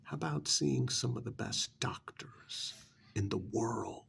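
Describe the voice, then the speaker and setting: Man playing a gravelly, whispering vampire's familiar recruiter. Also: a bit bright, exaggerated voice. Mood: persuasive. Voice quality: breathy, gravelly, often whispered. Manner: coaxing, sardonic sales pitch with drawn-out emphasis. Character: persuasive, smug vampire's familiar working as a recruiter. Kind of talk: ASMR role-play monologue.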